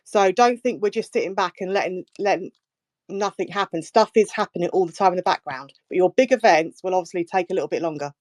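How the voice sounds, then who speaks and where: A woman's voice: fairly low pitch, fast, a little mellow, no room sound. A woman speaking in a casual online fan chat, explaining plans in a plain, reassuring way. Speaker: fan group organiser.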